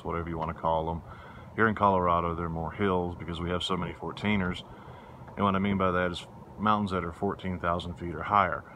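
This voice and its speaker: A middle-aged man hosting a woodworking channel, giving a relaxed, rambling tour of his porch workshop. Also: sits low, slight background noise. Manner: relaxed, rambling, with restarts and fillers. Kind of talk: casual shop tour. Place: outdoor front porch workshop under a canopy.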